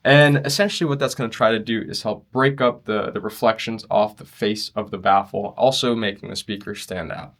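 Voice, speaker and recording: A young man in a conversational interview, explaining his loudspeaker design work earnestly and in detail. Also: lowish voice, steady tone, a little fast, hardly any pauses. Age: young adult. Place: demo room at an audio show.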